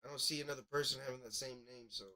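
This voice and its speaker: A man's voice: medium pitch, steady tone, racing. A man hosting a casual live music review, giving blunt, critical commentary. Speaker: host reviewing submitted music.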